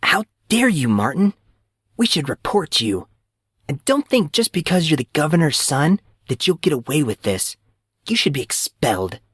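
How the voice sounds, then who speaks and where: A man's voice: on the high side, very animated, normal speed, a bit bright, slightly rough, dry recording, studio quality, pitched above the performer's own voice, exaggerated voice. A man voicing an indignant, scolding teenage girl in an audiobook story. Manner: outraged, confrontational. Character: indignant, scolding teenage schoolgirl defending her friend.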